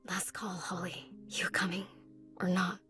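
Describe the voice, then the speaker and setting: A woman playing a tough, world-weary young woman in a visual novel, blunt and low-key. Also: completely flat delivery, a little slow, sharp tone, soft background music. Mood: challenging.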